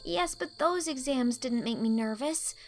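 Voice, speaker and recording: An earnest, anxious teenage schoolgirl acted by a woman narrator from an audiobook drama. She chatters in a high voice with dramatic, wordy feeling, and music plays underneath. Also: expressive, measured pace.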